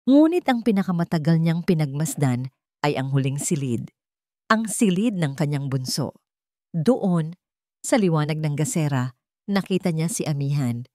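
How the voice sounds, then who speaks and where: A woman's voice: deep voice, lively intonation, normal speed, dark tone, no room sound, studio quality. A woman narrating a scripted story in a solemn, dramatic storytelling manner.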